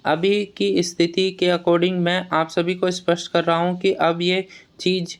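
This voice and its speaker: High-pitched, smooth-voiced man. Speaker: exam news vlogger advising job aspirants. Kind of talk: informal explanatory update addressed to students.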